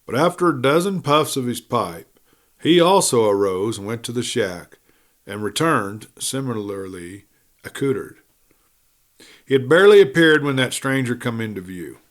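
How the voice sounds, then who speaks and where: A man's voice: mid-range voice, lively intonation, moderate pace. A man reading a western story aloud in a folksy storytelling manner, with rustic wording. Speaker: audiobook reader.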